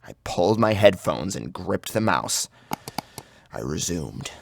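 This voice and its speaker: Low-voiced man narrating horror. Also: sing-song, a little slow, creaky, a little hiss or hum. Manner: grave, intense. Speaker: audiobook narrator. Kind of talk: dramatic first-person horror narration. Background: music.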